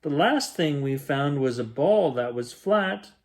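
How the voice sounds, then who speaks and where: A man reading a rhyming picture book aloud as a bedtime story, in a gentle, expressive storytelling manner. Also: on the high side, measured pace. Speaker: children's bedtime story reader.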